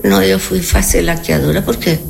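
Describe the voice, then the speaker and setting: A woman's voice: fairly low pitch, fairly even intonation, fairly quick, creaky, background noise. An elderly native Spanish-speaking woman from Argentina with an Argentine Spanish accent, reminiscing conversationally in an interview, repeating words and checking for agreement. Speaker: interview guest.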